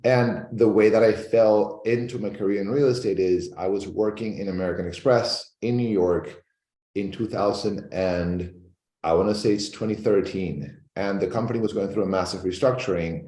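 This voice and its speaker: Deep man, echoey. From Venezuela. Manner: fast, animated storytelling. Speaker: real estate agent guest. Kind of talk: interview storytelling. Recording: echoey room.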